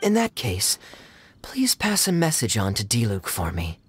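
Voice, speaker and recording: A man's voice: mid-range voice, wildly swooping pitch, a little slow, full character voice. A man voicing a suave, teasing young cavalry captain in a video game, playful and theatrical. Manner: theatrical, playful, smooth-talking. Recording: game music behind.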